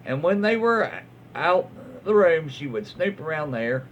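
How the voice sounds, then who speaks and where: Animated Southern man, folksy storyteller. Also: on the high side, a little slow. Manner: folksy, rambling, drawling slang. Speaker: host reading online stories aloud. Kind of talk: casual story reading with asides. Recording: dull lo-fi audio with faint noise.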